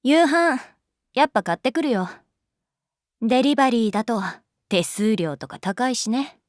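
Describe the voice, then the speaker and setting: An actress playing a calm, guarded young schoolteacher in a Japanese audio drama, speaking softly and frankly. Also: female voice, a little high, no room sound, studio quality, close to an everyday voice. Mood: guarded, dismissive. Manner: unhurried, soft-spoken, frank. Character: adult female homeroom teacher, calm, guarded, gently detached. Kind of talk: Japanese anime-style audio drama acting.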